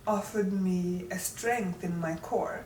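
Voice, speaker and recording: A woman's voice: fairly low pitch, steady tone, slow pace, reverberant, far from the mic, background noise. A woman in her forties from Uganda, talking through her life story as an interview guest in a reflective, conversational way.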